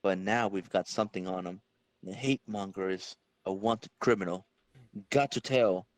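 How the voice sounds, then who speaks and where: A man's voice: low voice, moderate pace, no room sound, lightly acted. A man reading the part of a young, wisecracking male superhero thinking aloud in a dramatic comic-book reading. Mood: determined.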